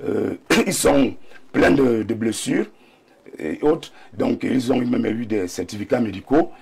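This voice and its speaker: A man's voice: medium pitch, measured pace, vocal fry, a little mellow, husky. A man with a Cameroonian accent and a slight cold gives emphatic debate commentary as a panelist, often repeating key words for weight. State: slight cold, throat clearing.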